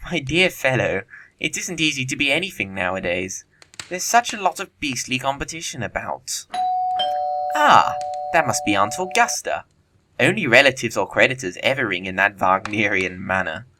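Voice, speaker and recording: A man playing a witty, flippant young gentleman bachelor in an audio comedy of manners, glib and theatrical in formal speech. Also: medium pitch, big pitch swings, moderate pace, slight background noise.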